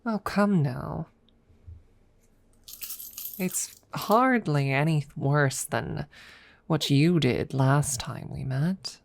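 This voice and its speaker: A woman voicing a proud, possessive female dragon who teases a rival in a haughty, deliberate manner, in an intimate ASMR audio roleplay. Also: low voice, lively intonation, vocal fry, heavily acted. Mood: teasing. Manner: haughty, teasing, deliberate with pauses.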